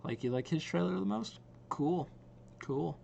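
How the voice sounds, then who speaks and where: A man's voice: a little high, lively intonation, measured pace, gravelly, dark tone, muffled recording, a little hiss or hum. A young man giving casual, rambling reaction commentary as the host of a video.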